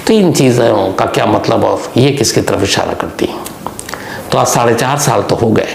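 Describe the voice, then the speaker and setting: A man with a gravelly voice telling a true-crime story as a monologue, deliberately, posing rhetorical questions to the listener. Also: fairly low pitch, lively intonation. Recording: echoey room with faint background noise.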